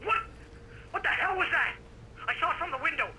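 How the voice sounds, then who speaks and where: A man's voice: very high voice, lively intonation, a little fast, background noise. An actor playing a jittery, nervous male friend in a horror video game.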